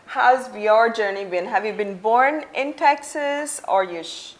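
Slow, measured woman hosting a talk show. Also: a little high, rough recording. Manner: slow, measured questions with restarts. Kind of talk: studio interview. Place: TV studio set. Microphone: lapel mic.

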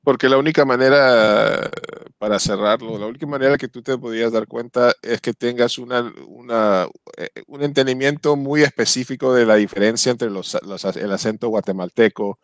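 A man's voice: medium pitch, moderate pace, no echo, clean studio sound. A man in his thirties, from Colombia and Uruguay, hosting a festival Q&A over a headset mic on a video call, often repeating words as he searches for phrasing. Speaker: festival Q&A host. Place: home room with bookshelves.

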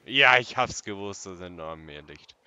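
A man's voice: low-pitched, lively intonation, normal speed, sharp tone, clear, resonant tone. A man chatting casually and crudely while playing a roleplay game. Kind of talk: casual gaming chat with banter. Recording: very noisy game recording.

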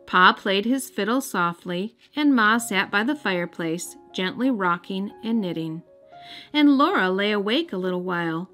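American woman reading a children's story over music. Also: mid-range voice. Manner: gentle, careful storytelling for young children. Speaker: children's storybook reader and host. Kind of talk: scripted read-aloud narration. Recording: music under the voice.

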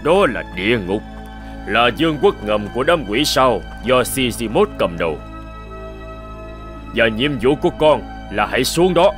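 Old male god, played by a man, cartoon fairy tale: grave, commanding, mid-pitched, varied intonation. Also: fairly bright tone. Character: solemn, authoritative old bearded male sky god.

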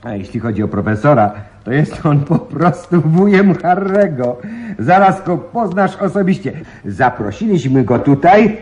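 A man's voice: on the high side, very animated, measured pace, darkish tone. An actor playing a jovial, teasing policeman who delights in pranking his boss, in a radio play. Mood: gleeful, explaining. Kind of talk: Polish radio play acting.

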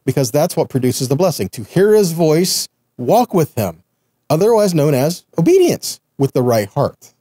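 A man's voice: fairly high pitch, big pitch swings, moderate pace, mellow, husky, no echo, studio quality. A middle-aged man giving conversational Bible teaching in an earnest manner, often checking in with the listener. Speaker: Messianic ministry teacher.

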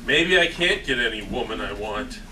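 A man's voice: on the low side, expressive, moderate pace, close to an everyday voice. A man playing a lonely, earnest, self-pitying coffee shop worker in a live comedy table read. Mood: dejected. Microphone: far camera microphone. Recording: distant, very noisy stage recording.

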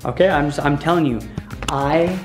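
A man's voice: a little high, moderate pace, over music. A young adult American man chatting casually to a vlog camera, with the playful, hyped manner of a showman. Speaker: vlogger. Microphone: handheld vlog camera at arm's length.

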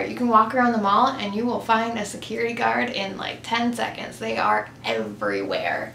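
Young woman vlogger, upbeat chatty delivery, fairly high voice, roomy echo, faint hiss. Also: fairly bright tone. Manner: chatty, upbeat, many "like" and "um" fillers, laughs often. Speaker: vlogger, mall retail worker. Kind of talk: casual vlog talking to camera. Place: small plain room, indoors, grey backdrop.

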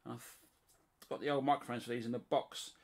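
A man's voice: mid-range voice, steady tone, fairly quick. British man giving a casual show-and-tell. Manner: rambling, colloquial. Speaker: radio hobbyist presenter. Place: small indoor room at home, radio shack.

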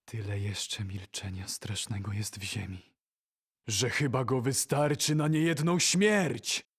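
Male reciter for audio drama: husky, very unhurried, solemn and measured. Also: mid-range voice. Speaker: poetry reciter.

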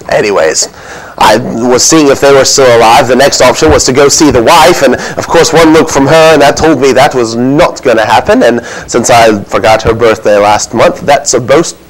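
A flustered innkeeper of ancient Bethlehem, acted out by a man in a comic stage monologue. He has a mid-pitched voice and tells his story defensively, rambling through excuses with wounded indignation. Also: low-quality recording.

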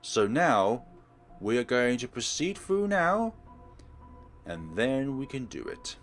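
A man's voice: mid-range voice, very animated, slow pace, faint music behind. A man hosting a let's play, giving instructional, step-by-step game walkthrough commentary.

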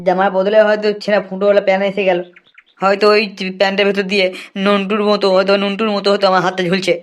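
Man playing a very fast-talking cartoon village sidekick. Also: sits high, completely flat delivery, natural voice. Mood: gossiping, alarmed. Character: excitable, lecherous village man, sidekick and father. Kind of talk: comic cartoon dialogue.

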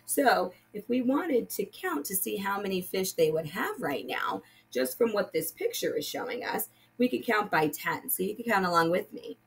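A woman's voice: mid-range voice, animated, normal speed, gravelly, hardly any pauses, slight background noise. A woman teaching young children, patiently explaining step by step and reading aloud like a primary school teacher. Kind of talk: children's lesson and picture book read-aloud.

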